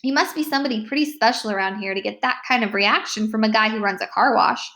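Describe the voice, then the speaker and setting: Woman as a cool, sincere schoolboy, higher voice. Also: quick pace, pushed and bright. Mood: sincere, impressed. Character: cool, sincere athletic middle-school boy.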